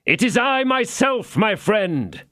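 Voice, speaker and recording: A man's voice: high voice, big pitch swings, takes it slowly, sharp tone, no room sound, studio quality, voice pushed up, heavily acted. A man voicing a cool, commanding young swordsman who speaks with assurance. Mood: bold, commanding.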